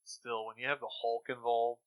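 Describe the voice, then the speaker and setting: A man's voice: medium pitch, level tone, normal speed, no echo, rough recording. A man co-hosting a podcast, giving his opinions in casual discussion. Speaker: podcast co-host. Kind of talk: casual critical discussion of old radio shows.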